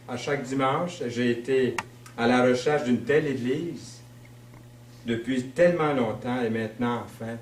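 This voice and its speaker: A man from Quebec with a Québécois French accent, preaching a sermon in a conversational, storytelling way. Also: mid-range voice. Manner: conversational, storytelling, with fillers and slow emphasis. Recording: roomy sound, mic at a distance.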